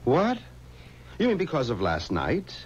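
An actor playing a confident, paternal middle-aged funeral home boss, assured and pompous, in a 1970s radio drama. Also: male voice, mid-range voice, wildly swooping pitch, a little slow. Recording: dull, faintly noisy 1970s radio recording.

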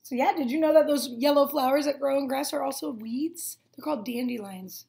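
High-voiced young woman teaching little children. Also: a little fast, vocal fry, darkish tone. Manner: explains and prompts with simple questions. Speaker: teacher reading aloud to children. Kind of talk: children's read-aloud with questions to pupils. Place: small room at home, indoors.

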